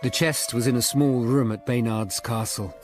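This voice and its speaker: A man's voice: mid-range voice, steady tone, moderate pace, music in the background, lightly acted. An actor playing a sombre Tudor lawyer who narrates his investigation in a radio drama.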